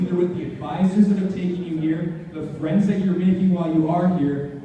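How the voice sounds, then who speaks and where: A young man gives an earnest, emphatic motivational talk to a live audience in informal language. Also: high-pitched. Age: young adult. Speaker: motivational speaker at a student leadership conference. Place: large indoor conference hall. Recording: echoey noisy hall, far from mic.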